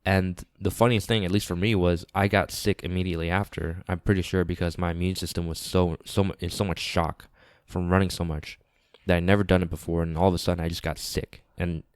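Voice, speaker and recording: Deep-voiced man, nasal from a cold. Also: flat delivery, a little fast, no echo, studio quality. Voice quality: slightly raspy, nasal. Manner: earnest, repeats phrases for emphasis. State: congested from a lingering cold. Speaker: podcast host. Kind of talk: casual podcast monologue. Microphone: close podcast microphone.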